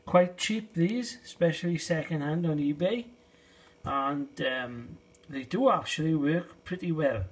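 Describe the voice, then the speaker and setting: A middle-aged man with a British accent talks through a piece of kit in a casual, low-key way. His voice sits a little high for a man and has a clearly husky edge. He is unhurried and conversational, like a hobbyist hosting his own video. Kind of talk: casual product demonstration. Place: small indoor space, shed.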